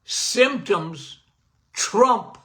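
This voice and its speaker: An older man, a doctor hosting a podcast, giving a casual and emphatic health talk, punctuated by "okay?" and self-described as loud-voiced. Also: sits high, very slow. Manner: animated, emphatic, repeats "okay?"; says he has a loud voice.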